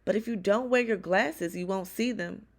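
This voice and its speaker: A woman's voice: medium pitch, normal speed, natural voice. A woman voicing a sassy, tall young girl dancer who talks back in a storybook read-aloud. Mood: cheeky.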